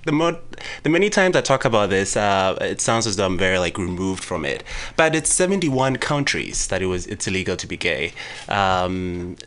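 Ghanaian man with a light, bright voice. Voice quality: high, soft voice for a man. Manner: animated, laughs often. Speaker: guest. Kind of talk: casual radio discussion.

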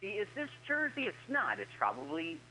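A man's voice: high-pitched, wildly swooping pitch, fairly quick, bright, forceful tone, slight background noise, poor audio. A man co-hosting a podcast, chatting casually about a film and quipping along with it. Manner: quips, quotes movie lines in passing. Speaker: podcast co-host. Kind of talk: casual movie commentary chat.

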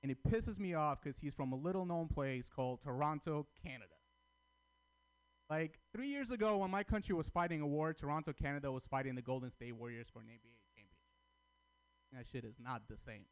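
Man from Armenia, stand-up comic, slightly high voice, crude deadpan punchlines. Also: a little fast, clean tone, poor audio. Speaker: stand-up comedian. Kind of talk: stand-up routine at open mic.

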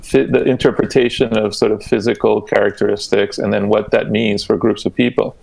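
Gravelly man explaining ideas in conversation. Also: lowish voice, level tone, fairly quick, noisy background. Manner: explanatory, often checks in with "right?". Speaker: guest researcher.

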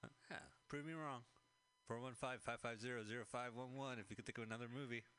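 A man's voice: mid-range voice, fairly even intonation, quick pace, fairly bright tone, clear, resonant tone. A man co-hosting a radio show, chatting casually and jokily about a film he is watching. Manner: jokey, rambling, chuckling asides. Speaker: radio co-host. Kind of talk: casual movie commentary chat.

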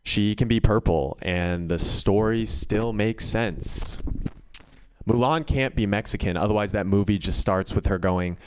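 Male stand-up comedian, low clean voice, conversational storyteller. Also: unhurried, clean tone, low-quality recording. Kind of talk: live stand-up comedy set.

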